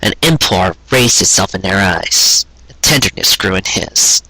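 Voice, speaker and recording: A man narrates a romantic tale with earnest drama. His voice is bright and forward with a husky grain, recorded dry and close. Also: low voice, animated, a little slow, no echo, low-quality recording. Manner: earnest, dramatic storytelling delivery. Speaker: storyteller reading fan fiction aloud. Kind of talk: scripted narration of a sentimental romance story.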